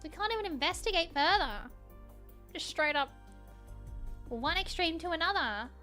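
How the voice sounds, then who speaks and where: A woman livestreaming a game, switching between reading the text aloud and reacting off the cuff in an animated way. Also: very high-pitched, normal speed. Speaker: game streamer. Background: background music.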